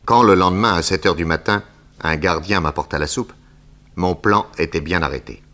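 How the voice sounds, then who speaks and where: An actor playing a bold, self-assured detective hero who narrates and acts in a 1960s French radio drama. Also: male voice, deep voice, moderate pace, a bit bright. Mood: composed storytelling.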